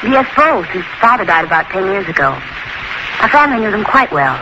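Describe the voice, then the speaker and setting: An actress playing a genteel, anxious society hostess in a 1940s radio drama. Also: female voice, mid-range voice, sing-song, fairly quick, natural voice. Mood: anxious, earnest. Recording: scratchy 1940s radio recording, heavy noise.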